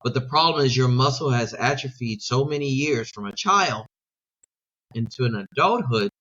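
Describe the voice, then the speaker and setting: A man's voice: medium pitch, expressive, normal speed, a bit bright, dull audio. A middle-aged man, a sales coach, talking emphatically in an interview and using rhetorical questions and repetition. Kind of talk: podcast interview.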